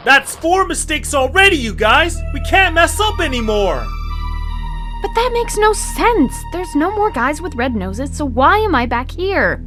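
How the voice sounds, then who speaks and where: A woman's voice: sits high, sing-song, a little slow, music in the background. A woman playing a small, frustrated creature who complains in a whiny, exclaiming way in an audio drama.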